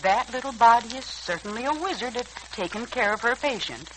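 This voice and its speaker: Older household matron, vintage radio serial. Bright, exclamatory, folksy scold with lively pitch and slight recording hiss. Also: female voice, a little fast, low-quality recording. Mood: gossipy, concerned. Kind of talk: 1940s radio serial acting.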